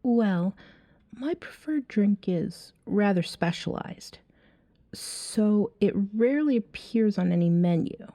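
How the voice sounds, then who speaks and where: A woman playing a flirty, self-assured female vampire in an ASMR roleplay, teasing a stranger at a bar in a soft-spoken, breathy way. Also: mid-range voice, expressive, slow, no echo. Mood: relaxed, flirty. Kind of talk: ASMR roleplay, acted one-sided dialogue.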